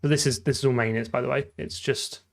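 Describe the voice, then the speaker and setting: A man's voice: medium pitch, fairly even intonation, fast talker, gravelly. A man thinking aloud in casual live coding commentary, muttering to himself and trailing off mid-thought. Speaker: programmer livestreaming game development.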